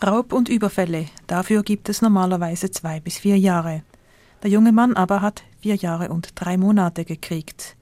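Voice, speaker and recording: A woman reporter giving scripted, measured narration for a news feature. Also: medium pitch, mellow, dry recording. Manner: measured, descriptive.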